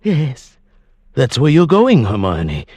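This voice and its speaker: An actor playing a quietly scheming, murderous professor in a radio thriller, speaking in a measured and precise way. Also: male voice, medium pitch, huge pitch swings, slightly rough, no echo, heavily acted. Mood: coolly menacing. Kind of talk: 1940s radio thriller acting, narration and dialogue.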